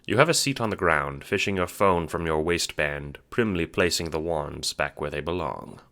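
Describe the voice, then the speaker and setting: A man's voice: a bass voice, moderate pace, bright, forceful tone. A man reading scripted second-person narration as the storyteller of an audio drama.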